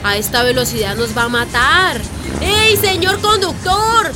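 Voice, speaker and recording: A woman's voice: high voice, unhurried, music in the background, crowd noise. An actress playing a brash, folksy Colombian woman in a radio drama, slangy and exclamatory. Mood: loudly protesting.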